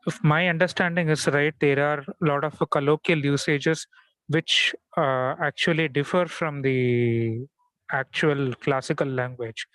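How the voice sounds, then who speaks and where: A man's voice: on the high side, fairly even intonation, fairly bright tone, clear, resonant tone, dry recording, poor audio. Man asking a question, dry sound. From India. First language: Tamil. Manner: polite, deliberate, with fillers. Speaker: audience member asking a question.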